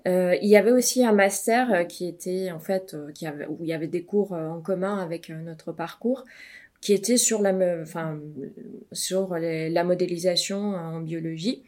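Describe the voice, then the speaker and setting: A middle-aged woman from Iran, a research mathematician, answering interview questions with many pauses and fillers. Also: lowish voice, darkish tone.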